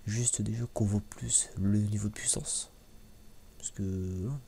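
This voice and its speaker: A low, gravelly male voice, speaking softly in a casual ASMR style. He rambles in slangy, halting phrases and often repeats words, with clear hiss and room noise behind him. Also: fairly even intonation. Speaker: ASMR video creator. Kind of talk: casual soft-spoken ASMR commentary on anime.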